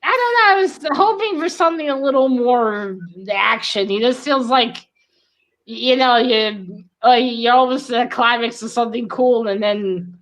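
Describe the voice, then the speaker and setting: Woman chatting about books, slightly high voice. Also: measured pace, fairly bright tone, low-quality recording. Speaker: read-along book discussion participant. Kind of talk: casual book chat.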